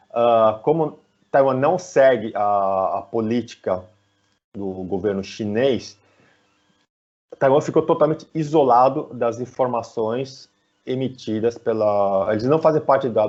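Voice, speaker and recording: A man with a Brazilian Portuguese accent explaining things conversationally as an interview guest, halting and often adding little tag questions, on dull online call audio. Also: mid-range voice, darkish tone. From São Paulo, Brazil. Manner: halting, frequently tagging phrases with "né".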